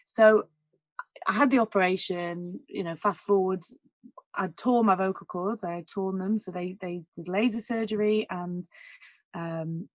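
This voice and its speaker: A woman jazz singer with a naturally husky voice, talking candidly in a casual interview, full of "like" and "you know". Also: medium pitch, unhurried, no echo. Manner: candid and emotional, many "like" and "you know" fillers.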